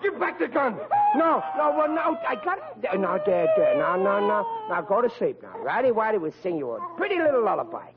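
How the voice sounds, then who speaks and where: An actor playing a hapless, whiny, excitable young policeman in an old radio comedy, blustering and stammering. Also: sits high, a little fast, hardly any pauses, full character voice. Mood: flustered. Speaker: comic lead actor. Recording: muffled vintage radio, humming, audience behind.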